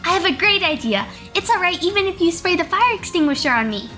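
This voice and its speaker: A bubbly little hero creature for a fan radio play. The voice is tiny, extremely high and bright, swooping with childlike eagerness. It sounds naive and fearless. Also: female voice, expressive, fast talker, faint music behind, exaggerated voice. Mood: reassuring.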